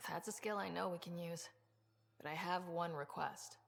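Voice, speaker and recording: A woman's voice: fairly low pitch, fairly even intonation, moderate pace, vocal fry, a bit bright, long reverb tail. An actress playing a confident, wry young female expedition leader in a space video game, talking in a casual, direct way. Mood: curious, friendly. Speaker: starship commander.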